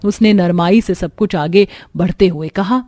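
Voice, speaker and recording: A woman's voice: fairly low pitch, measured pace, warm tone. A woman reading scripted storytelling narration for a cartoon.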